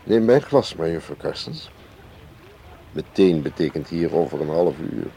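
Low, creaky, suave spy. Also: male voice, expressive, measured pace, warm tone, full character voice. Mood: dry teasing. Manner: ironic, leisurely, ends remarks with questioning tags. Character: suave, cagey male foreign spy, teasing and unhurried. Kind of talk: radio drama acting. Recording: clearly hissy old radio play recording.

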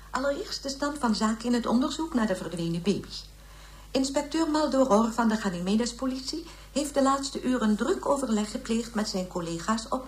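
A formal female newsreader in a radio play, played by an actress. Her voice is on the high side and reads in scripted bulletin style. The sound is clearly echoing. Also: natural voice. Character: formal female news journal presenter. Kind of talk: scripted news reading.

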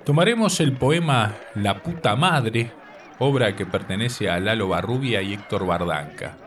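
A man presenting a scripted radio segment in a measured, didactic manner. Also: fairly low pitch, lively intonation. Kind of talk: scripted narration. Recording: distant mic with faint noise.

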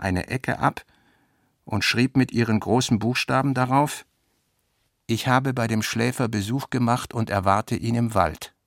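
Low, creaky male literary narrator. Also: dry recording, studio quality. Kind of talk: literary scripted narration for a radio play.

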